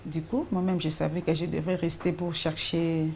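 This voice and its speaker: A woman from Congo-Kinshasa speaking in a low, mellow voice. She narrates simply and hesitates now and then, giving her testimony for a documentary. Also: flat delivery, moderate pace, slight background noise. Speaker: interviewee.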